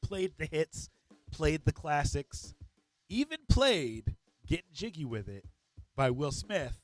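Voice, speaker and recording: Casting note: man, slightly higher voice, upbeat joking co-host, faint music behind. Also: big pitch swings, takes it slowly, no room sound. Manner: enthusiastic storyteller, upbeat and joking. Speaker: podcast co-host and comedian. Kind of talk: casual podcast chat.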